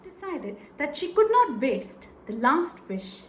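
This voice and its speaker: A woman narrating a folktale for children in a deliberate, expressive, dramatised way. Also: high voice. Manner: deliberate, expressive, repeats phrases for effect. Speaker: children's storyteller. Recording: echoey room with faint noise.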